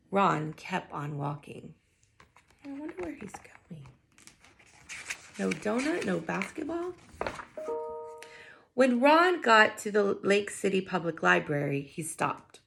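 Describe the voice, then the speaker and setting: A woman with heavy vocal fry reads a children's story aloud. She is expressive in her delivery and breaks off now and then to muse aloud like a teacher. Her pitch sits in an ordinary range for a woman. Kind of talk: children's picture book read-aloud with wondering asides.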